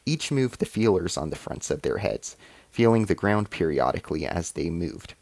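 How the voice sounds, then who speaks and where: A man's voice: sits low, expressive, normal speed, creaky, darkish tone, dry recording. A man reading a science fiction short story as scripted narration.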